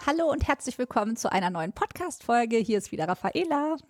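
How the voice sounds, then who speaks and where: A woman's voice: fairly high pitch, very animated, fairly quick, over loud music. A woman hosting a skincare podcast, chatty and upbeat, leading the conversation with questions. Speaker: podcast host.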